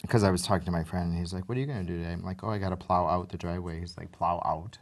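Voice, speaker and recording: A man with a Wisconsin accent and an extremely deep voice, hosting a studio talk show. He keeps things light, teasing his guest and laughing often, recorded on a clip-on mic in a TV studio. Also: steady tone, rapid-fire, slight background noise. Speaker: TV show host. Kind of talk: casual studio chat. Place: indoor TV studio set.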